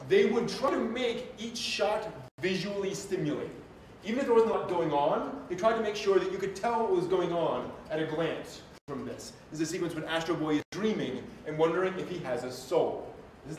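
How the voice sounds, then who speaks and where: A man hosting a live stream, giving an informal, enthusiastic lecture with emphatic asides. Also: a little high. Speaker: live stream host and presenter. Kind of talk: informal lecture on anime history to an audience. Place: reverberant indoor room. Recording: very echoey room, distant mic, faint noise.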